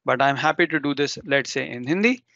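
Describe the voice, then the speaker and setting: A man's voice: mid-range voice, fairly quick, creaky, bright, forceful tone, no echo, dull audio. A man whose first language is Urdu, presenting a live technical demo and explaining step by step with ums and self-corrections.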